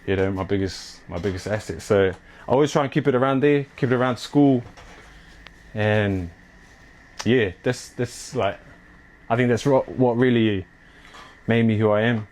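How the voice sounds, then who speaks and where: A man's voice: lowish voice, normal speed, long pauses, darkish tone, a little hiss or hum. Laid-back young New man. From New Zealand. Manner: laid-back, slangy, many fillers. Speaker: clothing brand owner and athlete. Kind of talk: casual interview chat. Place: his apartment.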